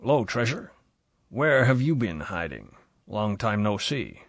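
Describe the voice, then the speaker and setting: A man's voice: fairly low pitch, big pitch swings, slow pace, vocal fry, no echo, heavily acted. A man voicing a bullying rich American yacht owner who talks in a mocking drawl, with an American accent.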